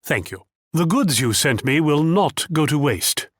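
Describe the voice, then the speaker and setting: A shrewd, moneyed Dutch commander for a strategy video game. His voice sits a little high for a man and swings freely up and down, captured close and dry. He sounds pompous and theatrical, talking down to his listener with proud self-assurance. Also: very animated, unhurried, a bit bright, breathy edge, dry recording, exaggerated voice. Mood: courteous, proud. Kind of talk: video game character voice lines.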